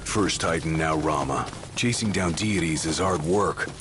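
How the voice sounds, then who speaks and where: Big gruff bodyguard guy, super deep voice, dry short lines, video game. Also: a little slow, over music. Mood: wry. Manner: wry, terse. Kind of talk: dramatic video game voice acting.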